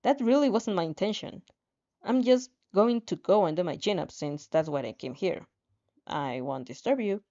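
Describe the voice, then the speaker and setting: A woman's voice: lowish voice, expressive, moderate pace, dark tone, close to an everyday voice. A woman voicing a frustrated teenage girl heroine, earnest, polite but defiant, in a story reading with lo-fi sound. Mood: strained politeness. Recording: dull lo-fi sound.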